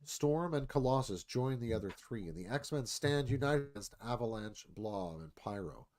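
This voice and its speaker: A man reads stage directions as dramatic narration. His voice is mid-pitched and moves up and down expressively, in the scripted style of a screenplay reading. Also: lively intonation, normal speed, a little mellow, slight background noise.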